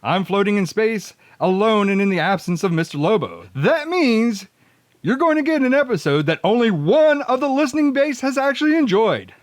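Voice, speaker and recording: A man's voice: high voice, lively intonation, moderate pace, no echo, clean studio sound. A man hosting a comedic radio drama read-through, sardonic and mock-dramatic, slipping into casual asides. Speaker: host and producer.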